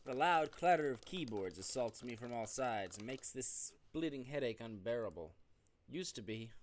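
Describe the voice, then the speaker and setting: A man streaming a game, reading its narration aloud expressively, with occasional stumbles and self-corrections. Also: medium pitch. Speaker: gaming streamer doing a playthrough. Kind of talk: reading visual novel narration aloud with off-the-cuff reactions.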